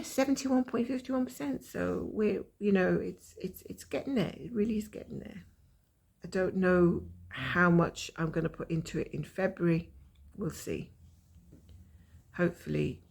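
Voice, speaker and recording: A woman with a croaky voice from a cold, chatting casually and rambling as she shows her cross-stitch progress from home. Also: on the low side, darkish tone. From Scotland. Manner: rambling, chatty, self-mocking.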